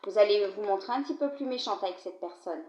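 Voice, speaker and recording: A woman's voice: quick pace, a little mellow. A woman with a cold giving a card reading, talking straight to the viewer in a chatty way and often checking in with the listener. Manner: conversational, addresses viewer directly with frequent "d'accord?". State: has a cold, coughing. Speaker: card reader. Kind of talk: tarot-style card reading to camera. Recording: low-volume, dull, roomy home recording.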